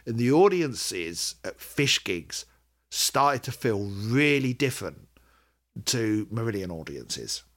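A man's voice: on the low side, big pitch swings, slow pace, a bit bright. A middle-aged man hosting a podcast, chatting casually and hesitantly about music fandom, often rambling and correcting himself. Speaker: podcast host.

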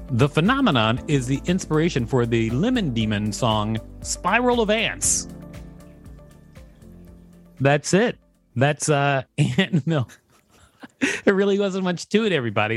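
A man co-hosting a podcast with casual, conversational commentary. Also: mid-range voice. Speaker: podcast co-host. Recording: music behind the voice. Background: music.

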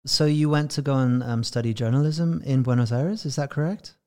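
A man with an English accent hosts an interview podcast. His voice is of medium pitch, and he puts questions and remarks in a conversational way. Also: fairly quick, darkish tone, smooth. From England.

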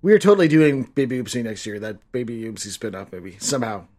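Male podcast co-host, mid-pitched and slightly raspy, deadpan jokester. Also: fast talker. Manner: dry, wisecracking asides. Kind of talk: casual movie commentary.

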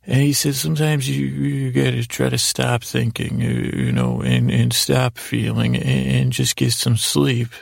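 A man hosting a podcast, giving a whimsical, meandering bedtime monologue in a halting way, often repeating words as he goes. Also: mid-range voice, lively intonation, no room sound, studio quality. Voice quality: creaky, gravelly. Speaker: podcast host.

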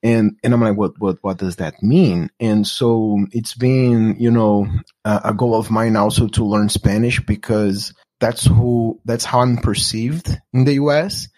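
A man's voice: fairly low pitch, steady tone, a little slow, a little mellow. A man from Brazil with a Brazilian Portuguese accent, talking in a casual, reflective way with many restarts and fillers. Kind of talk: casual conversation.

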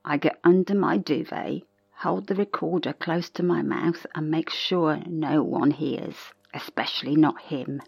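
An actress playing a frightened, secretive older woman confiding in a hushed way to a tape recorder in an audio drama. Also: low-pitched, fairly even intonation, unhurried, gravelly, few pauses, breathy edge, dry recording, exaggerated voice. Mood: fearful, hushed. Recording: dull, close, dry tape recording.

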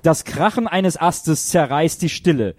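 A man's voice: on the high side, lively intonation, unhurried, no room sound. A man narrating a radio play in a dramatic, scripted storytelling style.

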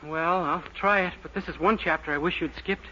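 A man's voice: a little high, fairly quick. A man playing an eager, naive young man in a 1940s radio comedy, boyish and earnest. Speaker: lead character of the sitcom. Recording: dull old radio broadcast with loud hiss.

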